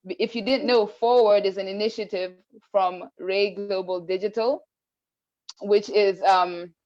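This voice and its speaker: A woman from Kenya hosting a casual online panel, reflective, with pauses as she thinks. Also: mid-range voice, fairly even intonation, a little mellow, muffled recording. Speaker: host.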